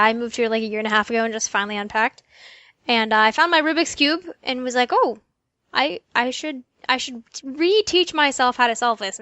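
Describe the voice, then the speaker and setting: Woman, high voice, bright tone, casual podcast chat, dry close recording. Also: no echo. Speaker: podcast co-host.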